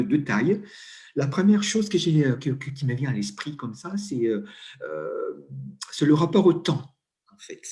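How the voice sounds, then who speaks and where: A man's voice: medium pitch, vocal fry, darkish tone. Hesitant Italian man speaking French. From Italy. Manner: hesitant, many fillers and restarts. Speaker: interview guest, coach and HR consultant. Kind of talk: conversational interview.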